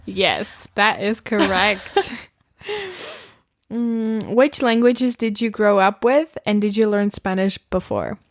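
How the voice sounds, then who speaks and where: A woman's voice: medium pitch, takes it slowly, no room sound. Hesitant young Czech woman, dry sound. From Czech Republic. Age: young adult. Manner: hesitant, lots of "like" and "I don't know". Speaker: podcast co-host. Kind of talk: casual chat.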